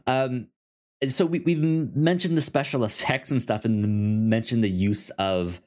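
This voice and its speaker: A man chatting casually as a podcast co-host reviewing films, halting and often stammering over repeated words. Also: mid-range voice, steady tone, darkish tone. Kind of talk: casual film review chat.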